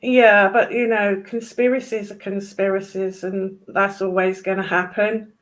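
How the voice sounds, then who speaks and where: A woman's voice: mid-range voice, little pitch movement, a little slow. A British woman in casual political chat, speaking in a blunt, opinionated way with some swearing. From United Kingdom.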